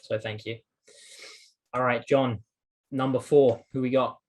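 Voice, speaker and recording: A man's voice: mid-range voice, flat delivery, a little slow, darkish tone. Man hosting a panel, upbeat. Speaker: host.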